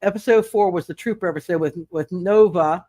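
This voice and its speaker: A man with a fairly high voice hosts a casual roleplay stream as game master. He speaks haltingly, doubling back over his words. The sound is close and fairly dry. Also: dark tone, no echo. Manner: halting, repeats words and restarts often. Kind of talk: casual livestream chat and recap.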